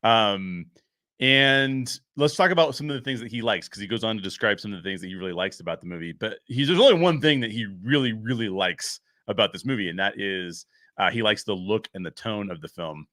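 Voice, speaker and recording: A man's voice: fairly low pitch, fairly quick, pushed and bright, no echo, studio quality. A man hosting a show solo, giving casual commentary in an emphatic way, repeating phrases for stress. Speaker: show host and story writer.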